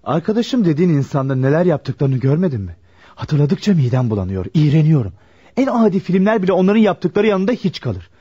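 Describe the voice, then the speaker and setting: A man's voice: fairly high pitch, big pitch swings, fairly quick, dark tone, husky. An actor playing a troubled, proud and morally strict young working man in a radio play, earnest and brooding. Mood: indignant. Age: young adult. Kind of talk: Turkish radio theatre acting. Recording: dull lo-fi radio drama recording.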